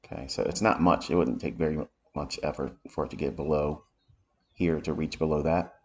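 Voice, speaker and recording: A man with a raspy voice from allergies, giving unhurried live commentary as he explains market charts. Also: very deep voice, steady tone. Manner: unhurried, thinking aloud while explaining charts. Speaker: trading educator. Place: home office.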